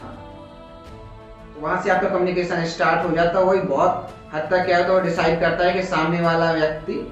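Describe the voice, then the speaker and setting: A man with an Indian accent teaching in an explanatory, motivational lecture style, slowing down deliberately on English phrases. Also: high voice, level tone, muffled recording. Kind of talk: motivational lecture on communication skills. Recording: very echoey room, music behind.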